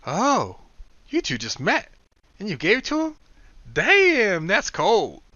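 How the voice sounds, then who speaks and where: A cocky young gambler played by a male narrator fires off loud, high-pitched jokes and mockery. His voice is sharp and swoops all over. The audiobook recording sounds dull and lo-fi. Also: big pitch swings, normal speed, no echo, exaggerated voice. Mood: wisecracking. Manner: loud, high, mocking, jokey. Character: young man, cocky wisecracking gambler sidekick.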